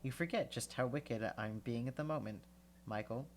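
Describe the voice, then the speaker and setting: A man's voice: medium pitch, moderate pace, slight background noise, natural voice. A man with a congested voice reading the lines of a flamboyant, vain young male wizard in a lightly raised, airy tone. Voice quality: congested, sniffly.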